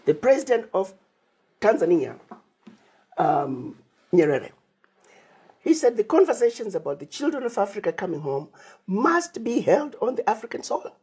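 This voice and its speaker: A woman's voice: medium pitch, big pitch swings, moderate pace, pauses often, dark tone, husky. A woman from Zimbabwe, an interview guest who speaks emphatically, laying out plans as lists and retelling conversations. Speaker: interview guest, Pan-African advocate.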